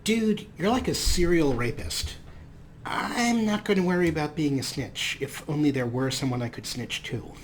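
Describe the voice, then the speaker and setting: A man's voice: a little high, lively intonation, normal speed, slight background noise. A man hosting a let's play, giving sarcastic, playful commentary and role-playing his character. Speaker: host.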